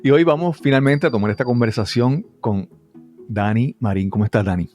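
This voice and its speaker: A man's voice: medium pitch, a little fast, darkish tone, soft background music. A man hosting a conversational interview, often checking in with tag questions. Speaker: podcast host.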